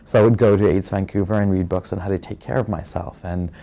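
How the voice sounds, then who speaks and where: A man from Fiji telling his own story in a soft, reflective way, pausing often with fillers. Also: deep voice, steady tone. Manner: soft-spoken and reflective, many pauses and fillers. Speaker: interviewee sharing his story. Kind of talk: personal testimony.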